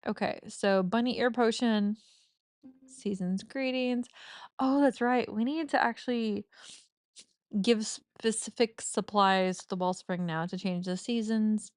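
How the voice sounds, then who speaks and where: A woman's voice: medium pitch, fairly even intonation, measured pace, no room sound, clean studio sound. A woman chatting casually while playing a cozy farming game, giggly and self-mocking, with a slightly stuffy nose. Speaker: gaming streamer. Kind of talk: casual let's-play commentary.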